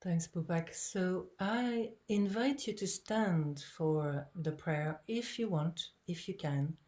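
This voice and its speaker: Woman in her 60s from lebanon, low voice, mellow tone, gentle facilitator. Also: slow pace. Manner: calm, gentle, inviting. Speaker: prayer facilitator. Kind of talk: guiding an online prayer gathering. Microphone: video call microphone.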